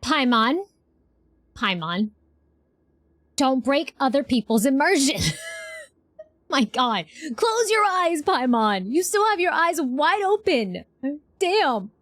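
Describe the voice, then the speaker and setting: A woman's voice: high voice, sing-song, slow, pauses often, fairly bright tone, no echo, clean studio sound. A woman streamer giving casual, playful live reactions to a game, often repeating short phrases. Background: game music.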